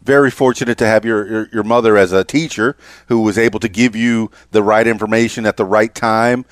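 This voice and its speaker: A man in his fifties hosts a podcast interview in a somewhat low voice. He speaks haltingly, often repeating words and restarting. The sound is dry and close. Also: fairly even intonation, clean tone, no echo. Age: 50s. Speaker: podcast host. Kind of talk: interview conversation.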